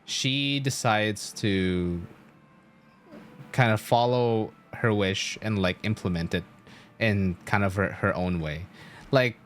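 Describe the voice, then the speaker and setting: A young man with a fairly low voice chats on a game stream. He explains story in a loose, rambling way, stressing his points and swearing casually. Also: a little hiss or hum.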